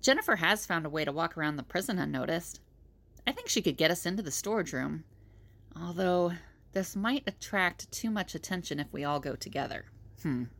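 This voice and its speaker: Actress doing a level-headed friendly lady prisoner in an audio drama, creaky lowish voice. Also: expressive, a little fast, a bit bright. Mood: composed, planning. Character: level-headed woman prisoner leading a friendly escape plan.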